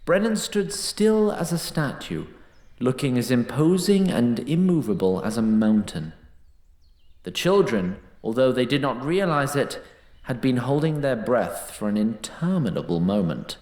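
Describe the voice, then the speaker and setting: Fantasy narrator, male: deliberate and dramatic, highly varied melody, noticeably echoing space. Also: sing-song, a little mellow. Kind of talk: dramatic scripted storytelling narration.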